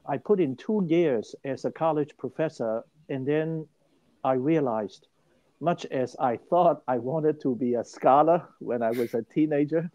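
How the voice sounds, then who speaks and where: Older man from Hong Kong, mellow voice. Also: a little high, unhurried, lo-fi sound. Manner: animated and emphatic, many restarts. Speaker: guest. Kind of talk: interview storytelling.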